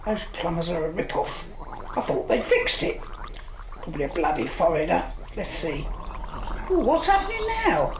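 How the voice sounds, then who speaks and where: A woman's voice: lowish voice, wildly swooping pitch, normal speed. A woman playing a grumpy, bigoted older housewife who scolds and mutters indignantly in a radio play. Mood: grumbling. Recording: roomy echo, faint noise.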